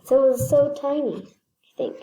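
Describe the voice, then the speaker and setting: A woman reading a children's picture book aloud, slightly halting, repeating phrases and adding casual asides. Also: high-pitched, lively intonation, dark tone. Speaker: read-aloud storyteller. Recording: echoey room.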